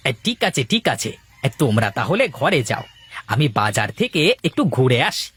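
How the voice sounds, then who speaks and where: A man's voice: mid-range voice, very animated, moderate pace, fairly bright tone, slightly rough. A man voicing a mild, obliging village potter and husband in a cartoon, with a deferential, concerned manner. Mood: gentle, agreeable. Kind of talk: cartoon voice acting.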